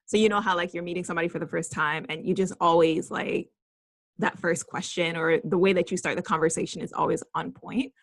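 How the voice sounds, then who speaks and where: A young woman hosting a casual interview, upbeat and quick to laugh. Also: on the low side, fairly even intonation. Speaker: podcast host.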